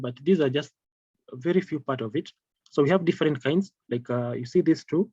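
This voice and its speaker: A man's voice: medium pitch, darkish tone, slightly rough, no room sound. Hesitant male product trainer, dull audio. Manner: halting, repetitive, hesitant delivery. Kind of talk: informal slide presentation of products. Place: online video call with screen-shared slides.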